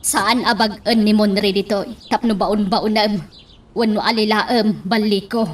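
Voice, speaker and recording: A woman's voice: medium pitch, steady tone, unhurried, natural voice. A woman acting an insistent, nagging middle-aged mother in an Ilocano radio drama. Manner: insistent, questioning. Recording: distant microphone with faint background noise.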